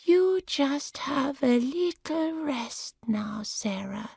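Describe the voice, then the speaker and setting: A woman voicing a polite young female carer who speaks halting, broken English and apologizes often. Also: high voice, lively intonation, darkish tone, no room sound, clean studio sound, voice pushed up, heavily acted. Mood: soothing.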